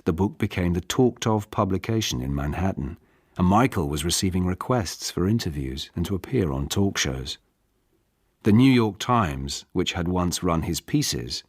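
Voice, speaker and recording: A man's voice: deep voice, husky, no echo. A British man reading literary fiction as an audiobook narrator in a studio. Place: dry studio.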